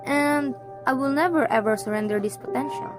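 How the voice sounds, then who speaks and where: A woman's voice: high-pitched, brisk, music in the background, natural voice. A woman playing an eager, sporty girl student with super strength.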